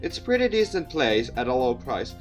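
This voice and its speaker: A man's voice: medium pitch, very animated, a little fast, faint music behind, rough recording. A man hosting a let's play, reading visual novel text aloud with commentary and often tripping over words and repeating them. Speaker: gaming video host.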